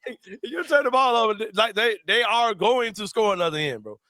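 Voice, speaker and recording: A young man hosting a casual sports talk show, animated and slangy and quick to laugh. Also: high voice, no echo.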